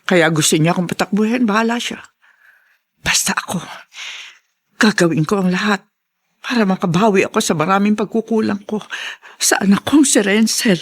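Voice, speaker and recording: An actress plays a middle-aged mother weighed down by regret in a radio drama. Her mid-range voice is emotional and halting, weary with remorse. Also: mid-range voice, close to an everyday voice. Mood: resolute remorse. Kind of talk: Tagalog radio drama acting.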